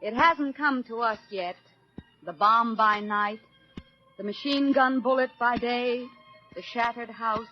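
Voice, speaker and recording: Actress as an earnest American housewife, old muffled radio. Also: female voice, fairly high pitch, lightly acted. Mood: grave, grieving. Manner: solemn, theatrical, emphatic. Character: earnest, defiant middle-aged American housewife and mother. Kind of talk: 1940s radio dramatic monologue. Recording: dull 1940s radio recording, faint music.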